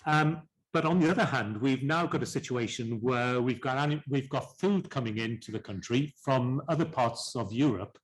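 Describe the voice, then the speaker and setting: A man chairing a panel discussion, polite and halting, often repeating words as he sums up. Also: medium pitch, rough recording.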